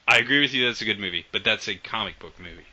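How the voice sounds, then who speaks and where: A man hosting a casual podcast, enthusiastic and swearing often, trailing off quietly at the ends of sentences. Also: on the low side, racing. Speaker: podcast host. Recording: dull lo-fi audio.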